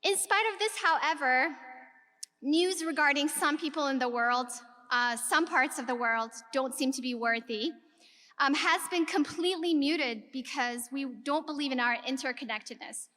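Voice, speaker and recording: A woman's voice: high voice, normal speed, pushed and bright. A woman from Ethiopia giving an earnest keynote speech to a live audience, posing rhetorical questions to the audience. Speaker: keynote speaker. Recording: long reverb of a large hall.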